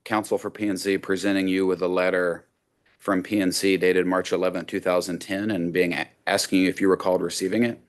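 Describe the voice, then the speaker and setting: A man working as an attorney, cross-examining a witness over a video call with measured, methodical questions. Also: deep voice, little pitch movement.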